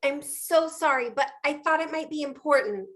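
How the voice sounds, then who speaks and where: A woman's voice: sits high, normal speed, a bit bright. A woman playing an earnest, innocent young helper in a noir stage play reading, meek and apologetic. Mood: timid, earnest.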